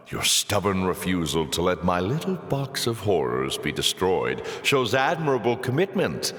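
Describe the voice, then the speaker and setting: Very deep, suave demon lord. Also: male voice, a bit bright, heavily acted. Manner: mock-courteous, sly, grandiloquent. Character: suave, sardonic male demon lord, theatrical tempter. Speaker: arena master taunting the player. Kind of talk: theatrical video game villain acting. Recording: echoey hall reverb.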